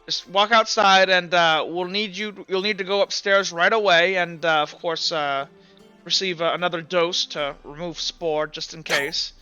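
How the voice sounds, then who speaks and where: A man voicing a brisk attendant giving matter-of-fact, halting orders in a tabletop game. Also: high-pitched, fairly even intonation, sharp tone, clean tone, lo-fi sound, faint music behind. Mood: brisk, instructing.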